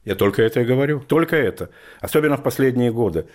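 A middle-aged writer from Ukraine, interviewed for a radio program, talking in a thoughtful way with lots of verbal fillers. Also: male voice, mid-range voice, lively intonation, fast, a little mellow. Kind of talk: relaxed conversational storytelling.

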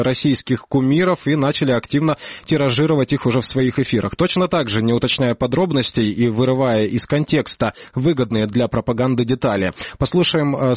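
A man narrating a news report in a measured voice, with an occasional hesitation between phrases. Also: mid-range voice, no room sound. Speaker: news correspondent.

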